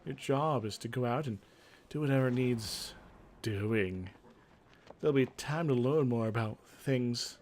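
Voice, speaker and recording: A man's voice: medium pitch, a little slow, a little mellow, slight background noise, lightly acted. Man voicing a weary, stern female fortress advisor.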